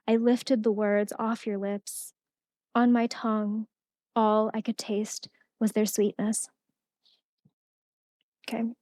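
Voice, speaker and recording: A woman's voice: on the high side, warm tone, no room sound. Casual young woman poet, dry sound. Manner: casual, many fillers like "um" and "like". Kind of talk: poetry reading and casual workshop talk. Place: college event room.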